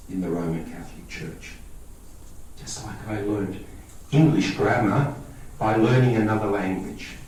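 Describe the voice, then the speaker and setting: A man's voice: medium pitch, darkish tone, distant mic, slight background noise. Greek-born man talking in an echoey hall. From Australia. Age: 50s. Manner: conversational, checks in with listeners. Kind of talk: public talk to a lay audience.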